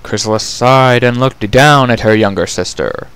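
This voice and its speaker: A man's voice: medium pitch, normal speed, a bit bright, clear, resonant tone. A man narrating a fantasy story as a scripted dramatic reading.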